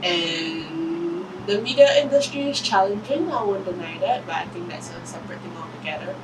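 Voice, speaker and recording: A woman's voice: lowish voice, expressive, moderate pace, recorded from a distance, a crowd in the background, rough recording. A woman in her early twenties talking through a reflective personal vlog, thoughtful and self-correcting, with some casual swearing. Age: 20s. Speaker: vlogger. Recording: voice a bit off-mic with room noise.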